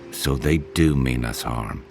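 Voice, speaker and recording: A man's voice: extremely low pitch, takes it slowly, creaky, noisy background, exaggerated voice. A man playing a big, easygoing, protective soldier companion in a video game, with a wry manner. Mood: calm, wry. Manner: wry, drawling, nautical slang. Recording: background game music.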